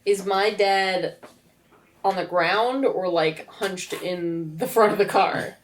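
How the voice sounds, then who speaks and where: A woman's voice: mid-range voice, slow pace, roomy sound. A woman playing a tabletop game, casually describing her character's actions. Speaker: player in a tabletop game podcast.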